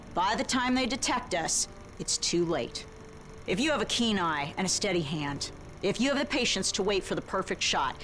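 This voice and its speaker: An actress playing a measured, intense sniper recruiter, assured and focused, narrating a video game recruitment film. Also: female voice, mid-range voice, natural voice. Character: cool, focused Canadian sniper division recruiter.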